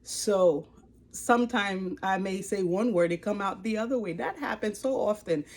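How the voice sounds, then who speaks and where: A woman in her forties with a Haitian accent, giving an earnest, expressive personal testimony to camera. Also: mid-range voice, normal speed. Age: 40s. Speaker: life coach and ministry leader. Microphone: speaking to a camera.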